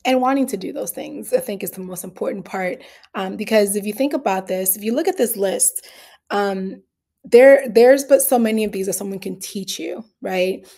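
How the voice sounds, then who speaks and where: A woman's voice: mid-range voice, normal speed, vocal fry, mellow. A woman from the Dominican Republic giving an earnest, candid summit talk, with frequent fillers and restarts. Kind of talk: conversational online presentation.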